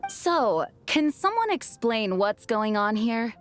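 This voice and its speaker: A woman's voice: fairly high pitch, very animated, takes it slowly, slight background noise. An actress playing a poised, dutiful female knight commander in a video game, speaking formally and courteously. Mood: puzzled, wary. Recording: background music under voice.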